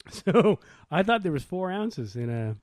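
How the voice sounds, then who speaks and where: A man's voice: high voice, big pitch swings, slow pace, no room sound, clean studio sound. A man co-hosting a podcast in casual banter, with a playful, teasing, exclamatory way of talking. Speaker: podcast co-host.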